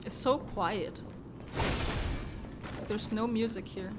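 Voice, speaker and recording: A woman's voice: medium pitch, takes it slowly. A woman live streamer giving casual, brief reactions as she plays and watches a game. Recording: loud game music behind the voice.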